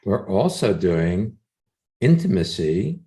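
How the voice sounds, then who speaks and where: A co-host of a talk show making low-key, brief remarks in casual chat. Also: big pitch swings, slow pace, dark tone.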